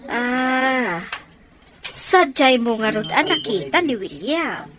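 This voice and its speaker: Nosy scolding mom in ilocano radio drama, highish voice, ilocano accent. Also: female voice, very animated, slow, over music. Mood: curious. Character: nosy middle-aged mother, scolding and questioning.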